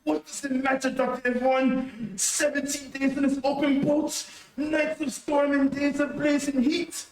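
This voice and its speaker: A man's voice: very high-pitched, little pitch movement, a little slow, hardly any pauses. A man playing a scornful, nervy escaped convict in an old-time radio mystery, with a sneering, dismissive manner. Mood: callous. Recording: roomy, distant amateur stream audio.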